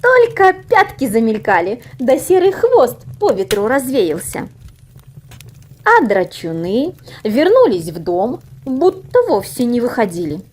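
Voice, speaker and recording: A woman narrates a children's fairy tale in a high, slightly husky voice. Her delivery is expressive and takes its time, with lively rises and falls. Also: a little slow. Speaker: audio fairy tale reader. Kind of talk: expressive scripted storybook narration.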